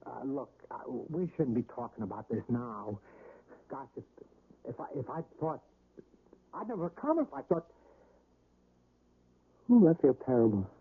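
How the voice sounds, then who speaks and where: An actor playing a weak, guilty husband, stammering and defensive, in a radio drama. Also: male voice, medium pitch, lively intonation, a little slow, creaky, pauses often, slightly rough, phone-quality sound, full character voice. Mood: guilty, awkward. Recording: thin, band-limited old radio recording.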